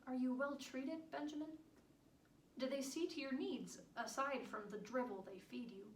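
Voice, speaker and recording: A woman plays a devoted, proud country wife in a period stage monologue. The voice is fairly high, with earnest, formal, old-fashioned diction. It is picked up from a distance in a reverberant room, with a faint layer of noise behind it. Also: normal speed, natural voice. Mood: worried, bitter. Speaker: stage actress performing monologues. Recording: echoey room, distant mic, background noise.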